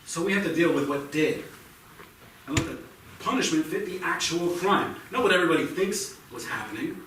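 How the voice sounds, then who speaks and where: A man's voice: a little high, animated, brisk, a bit bright. Man acting a combative dad, echoey and distant. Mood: insistent. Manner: insistent, argumentative, stumbling over restarts. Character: defensive, combative father shielding his teenage son. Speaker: stage actor in a staged reading. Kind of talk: live staged play reading, naturalistic. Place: indoor theatre stage, recorded from a distance. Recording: echoey, distant theatre recording with faint noise.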